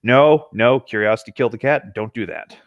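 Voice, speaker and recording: A man's voice: medium pitch, brisk, dry recording, phone-quality sound. A man co-hosting a horror story show, reading aloud and chatting in an informal, conversational way. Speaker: co-host reading internet horror stories. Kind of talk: casual banter and story reading.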